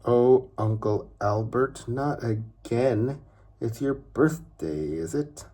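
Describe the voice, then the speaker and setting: A man voicing a brisk, firm, matter-of-fact woman, a magical nanny in a children's storybook. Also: medium pitch, dark tone, voice pushed up. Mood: mildly exasperated.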